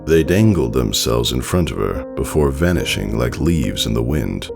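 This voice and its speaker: Very deep, gravelly male story narrator. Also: animated, normal speed. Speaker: fan fiction narrator. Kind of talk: dramatic scripted story narration. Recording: soft music behind the voice.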